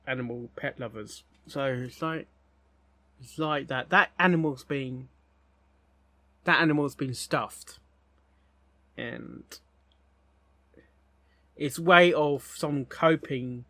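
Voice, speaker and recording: A man giving casual, rambling reaction commentary as a host, haltingly, often repeating words and phrases. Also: mid-range voice, pushed and bright, no echo.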